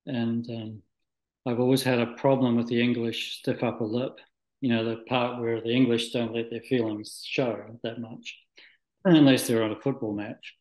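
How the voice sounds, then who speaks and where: Male interview guest, from Scotland and New Zealand, medium pitch, thoughtful and halting, recorded in an echoey room. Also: little pitch movement, a little mellow. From Scotland, moved to New Zealand at nine. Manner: halting, thoughtful, searching for words. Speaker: interview guest, spiritual practitioner. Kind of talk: reflective, conversational interview answers.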